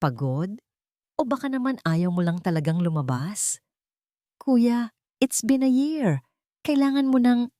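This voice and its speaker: A woman's voice: fairly high pitch, very animated, measured pace, pauses often, mellow, no room sound, studio quality, voice pushed up. A woman voicing a chirpy, pushy, meddling younger sister in a dramatic story.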